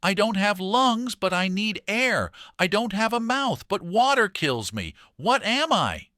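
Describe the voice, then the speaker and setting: A man's voice: sits high, very animated, a little slow, pushed and bright, no echo, clean studio sound, pitched above the performer's own voice, exaggerated voice. A man voicing a solemn lion-bodied guardian in a children's story, grand and commanding as he declaims. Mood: imposing, intense. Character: booming male sphinx guardian, lion-bodied, solemn riddler.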